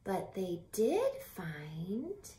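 Slow, expressive woman reading a storybook. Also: mid-range voice. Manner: animated, deliberate, drawn-out phrasing with hushed whispers. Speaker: teacher reading a picture book aloud. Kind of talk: expressive children's storybook read-aloud with asides to young listeners. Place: small home room by a window. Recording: roomy echo from home camera mic.

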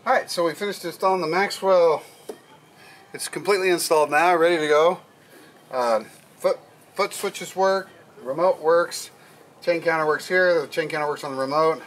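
A man's voice: a little high, moderate pace, a bit bright. Middle-aged man, casual boat how-to, plain explaining tone, faint outdoor hiss. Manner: plain, step-by-step explaining with fillers. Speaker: sailing vlogger. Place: on a sailboat deck, outdoors and in the cabin.

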